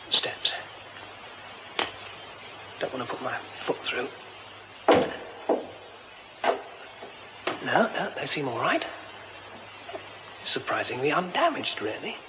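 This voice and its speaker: An actor playing a polite, excitable, educated theatre man with a British accent and an expressive manner in a radio drama. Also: on the high side. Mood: musing. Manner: expressive, muttering exclamations to himself. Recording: dull, noisy radio recording.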